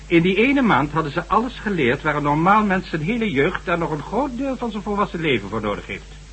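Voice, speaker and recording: Male senior government official, radio drama. Higher-pitched, very animated delivery, stern, condescending, formal. Slightly noisy old recording. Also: brisk. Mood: grave, recounting. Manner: sardonic, condescending, formal, lecturing. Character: stern, sardonic high government official and former investigator. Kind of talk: Dutch radio drama acting. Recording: faint hum and noise, old radio recording.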